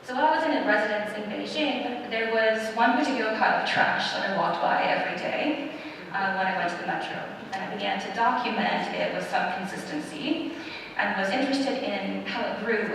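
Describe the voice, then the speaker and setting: A woman's voice: mid-range voice, a little fast, creaky. A woman artist speaks thoughtfully about her paintings, with frequent ums between her ideas. She sounds distant in a large, echoing gallery with a long reverb tail and a faint hiss underneath. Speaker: exhibiting artist. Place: art gallery. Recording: echoey gallery from far off.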